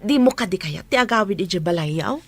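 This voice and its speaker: A woman's voice: mid-range voice, animated, a little fast, breathy edge, faint music behind. A woman acting a chatty, nosy household helper in a radio comedy drama, inquisitive and exclaiming. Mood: curious, concerned.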